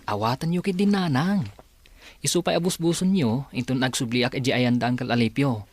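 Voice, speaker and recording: A man's voice: medium pitch, a little fast, no room sound, natural voice. An actor with an Ilocano accent playing a hesitant, respectful young man in love in a radio drama. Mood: earnest.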